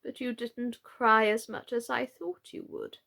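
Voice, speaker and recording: A woman voicing a gentle, eccentric old knight from a storybook, with a halting, earnest, theatrical delivery. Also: fairly high pitch, little pitch movement, vocal fry, heavily acted. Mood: doubtful.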